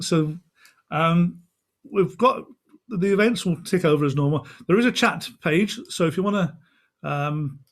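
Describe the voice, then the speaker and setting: A man with a fairly high-pitched voice hosts an online event. He talks informally and off the cuff, in a loose, chatty way over a video call. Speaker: co-host, magazine editor and co-founder. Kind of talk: casual unscripted event hosting.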